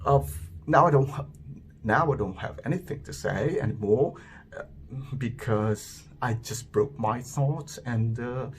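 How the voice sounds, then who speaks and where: A man from the Philippines with a Filipino English accent that sits between American and British, talking reflectively to himself, with careful enunciation, restarts and pauses. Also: medium pitch, a little hiss or hum. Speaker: vlogger. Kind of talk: reflective solo monologue.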